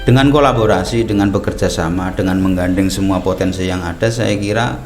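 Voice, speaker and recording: A middle-aged man from Banyumas talks at ease as a podcast guest, his low voice drifting through fillers and restarts. The room rings with echo, and music plays clearly underneath. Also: fairly quick. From Purbalingga, Banyumas, Central Java. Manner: relaxed, talkative, frequent fillers and restarts. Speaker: media director, podcast guest. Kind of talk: casual podcast interview.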